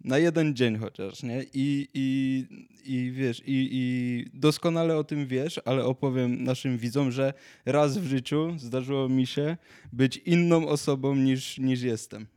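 Halting young man chatting casually. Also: medium pitch, steady tone, mellow. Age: 20s. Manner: halting, repeats words and restarts often. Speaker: podcast co-host. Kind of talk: casual chat, anecdotes.

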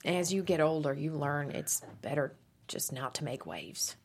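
A woman's voice: deep voice, measured pace, gravelly, exaggerated voice. A woman reading the part of a tough but evasive middle-aged working mother at a script table read. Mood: weary, dismissive.

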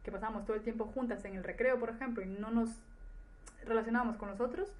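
The guest on a migration podcast, a woman with a fairly high voice and a mixed Ecuadorian and Spanish accent, telling her story casually. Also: steady tone, quick pace. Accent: Ecuadorian with Spanish influence. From Ecuador, later grew up in Spain. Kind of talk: casual conversation, personal recollection.

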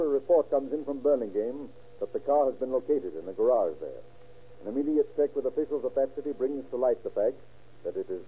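An actor narrating an old-time radio crime drama in a grave, formal style. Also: male voice, mid-range voice, husky, lightly acted. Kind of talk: 1930s-1940s radio scripted narration. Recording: scratchy, tinny old radio recording.

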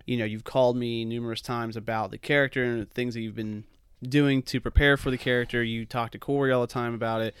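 A man's voice: medium pitch, level tone, fairly quick, hardly any pauses, clean tone, dry recording. A man hosting a podcast as a film director, chatty and casual, with many fillers and the odd swear.

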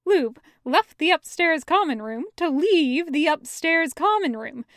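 A woman reading a story aloud as scripted, expressive audiobook narration. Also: sits high, measured pace, no echo, studio quality. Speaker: fan fiction audiobook reader.

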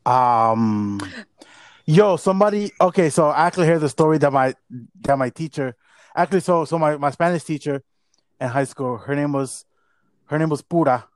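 A man's voice: a little high, moderate pace, no room sound. Male podcast co-host, loose rambling banter, bilingual, close dry recording. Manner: rambling, joking, code-switching Spanish and English. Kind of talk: casual podcast chat. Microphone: not his regular mic.

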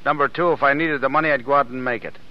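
An actor playing a gruff, cocky working-class American tough guy in a blunt, slangy 1930s film style. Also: mid-range voice, steady tone, rapid-fire, lightly acted. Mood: gruff, scornful. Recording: dull old film soundtrack, music behind.

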